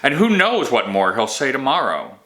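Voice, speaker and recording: A man's voice: mid-range voice, huge pitch swings, moderate pace, sharp tone, pitched above the performer's own voice. A man voicing a suspicious, weary king in a fantasy audiobook, questioning and emphatic. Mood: suspicious, insistent. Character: troubled, suspicious young king, weary and doubting.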